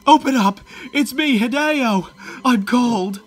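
A man's voice: very high voice, slow, fairly bright tone, pitched above the performer's own voice, heavily acted. A man voicing a terrified cursed boy in a horror comic, strained, urgent and pleading. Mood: desperate, pleading. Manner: panicked, stammering, urgent.

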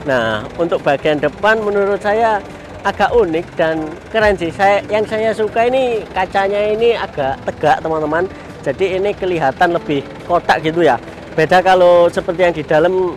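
A man in his thirties casually showing off a minibus outdoors, chatting to his viewers as friends. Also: high voice. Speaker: vlogger. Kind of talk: casual walkaround vlog. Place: outdoor car show parking lot. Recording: outdoor noise behind voice.

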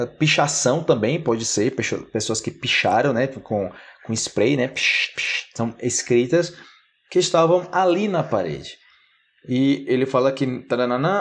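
Animated male language teacher. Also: medium pitch. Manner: lively, gestures a lot, frequent "né?" and "ok?" check-ins. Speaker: Portuguese teacher, channel host. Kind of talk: casual explanatory lesson to camera. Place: small indoor room, plain wall. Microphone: close camera framing, upper body.

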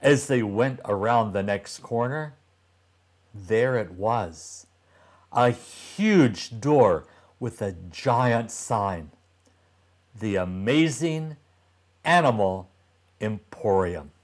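An older man reads a children's storybook aloud at a very slow pace. His pitch sits in the middle for a man, and he places each phrase deliberately, with expressive emphasis for young listeners.

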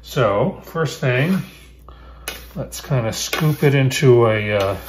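A man in his fifties hosting a home cooking show, explaining each step in a relaxed way as he works in his kitchen. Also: mid-range voice, slow pace, background noise. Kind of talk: casual cooking demonstration.

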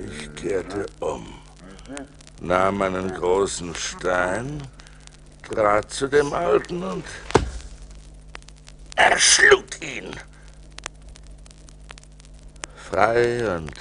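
An actor playing an adventurous seafarer who recounts his ordeals theatrically in a German radio play, moving between hushed and forceful delivery. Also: low voice. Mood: grave, hushed, then intense. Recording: noisy vinyl record transfer.

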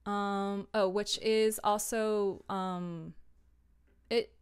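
A woman's voice: mid-range voice, fairly even intonation, drawn-out words, clear, resonant tone. A young adult woman chatting casually on a livestream as a creator giving tips, in an informal, self-deprecating, giggly manner. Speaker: streamer and audio drama creator. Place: small home room, indoors.

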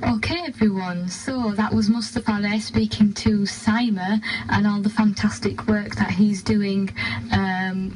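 A woman's voice: mid-range voice, steady tone, unhurried, a little mellow, husky, poor audio. A woman presenting on radio with an unscripted, informal link. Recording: faint background noise.

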